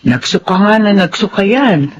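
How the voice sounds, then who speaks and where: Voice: mid-range voice, animated, normal speed, lo-fi sound, a little hiss or hum, close to an everyday voice. An actor playing a fretful, caring older Filipina household nanny in a radio drama, with a Filipino accent. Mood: worried, grumbling.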